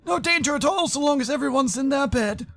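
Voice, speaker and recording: A very high-voiced, courteous butler played by a man from a visual novel. He speaks in a level, measured manner, and his politeness hides a menace. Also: expressive, fairly bright tone, full character voice. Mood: politely menacing.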